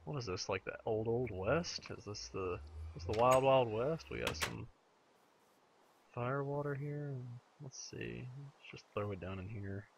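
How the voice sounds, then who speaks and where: A man with a slightly hoarse, raspy voice chatting to himself while playing through a modded game. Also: mid-range voice, unhurried, lo-fi sound, a little hiss or hum. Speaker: let's play gamer. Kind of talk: casual gameplay commentary.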